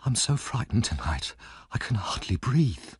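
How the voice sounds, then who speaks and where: A man reading aloud and voicing a frightened, tearful young woman who pleads in a husky, lively voice. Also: on the low side, a little slow, no echo, studio quality, pitched above the performer's own voice, full character voice. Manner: breathless, fearful pleading.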